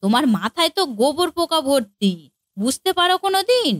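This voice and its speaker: A high-pitched, exasperated mother-in-law acted by a woman in a comic cartoon. She is middle-aged and given to scolding, and the recording is close and dry. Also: big pitch swings, a little fast, dry recording.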